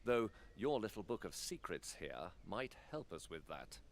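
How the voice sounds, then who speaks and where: A stately council lord from a fantasy game. His voice is deep, and he speaks formally and guardedly, like a statesman carrying heavy worries. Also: very animated, a little slow. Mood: guarded, weary. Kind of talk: fantasy video game cutscene acting.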